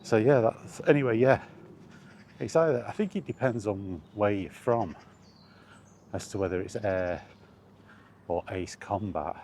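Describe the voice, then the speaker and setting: A man's voice: fairly low pitch, measured pace, long pauses, dark tone. A man rambling casually and easygoingly to himself as a vlogger while out walking, musing with trailing asides. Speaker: solo vlogger. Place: outdoors, walking along streets or paths. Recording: noisy outdoor recording with environmental sounds.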